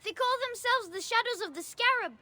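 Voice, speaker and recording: An actor playing an excitable, shrill and defiant little boy in a video game cutscene. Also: fairly quick, heavily acted. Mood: defiant, agitated. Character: frightened, defiant little boy, brave and suspicious.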